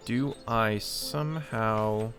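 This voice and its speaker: A man's voice: lowish voice, very slow. A man giving casual let's play commentary, thinking aloud hesitantly as he plays. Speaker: game streamer. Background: game music.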